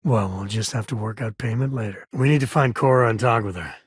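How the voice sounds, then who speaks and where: A man's voice: lowish voice, a little fast, husky, no echo, clean studio sound. A man voicing an easygoing, folksy space ranger and father in a video game, with a wry, casual drawl. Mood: playful.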